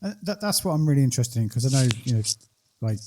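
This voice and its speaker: A man's voice: medium pitch, big pitch swings, racing, warm tone, slight background noise. Playful, teasing male podcast host. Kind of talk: casual interview chat.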